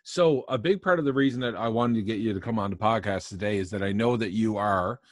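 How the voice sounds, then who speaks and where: A man's voice: on the low side, fast, no room sound. A man in his thirties hosting a podcast, asking loose, hesitant questions from a room at home. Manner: loose, hesitant, lots of fillers. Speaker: podcast host. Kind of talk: casual interview questions. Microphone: studio microphone on a stand.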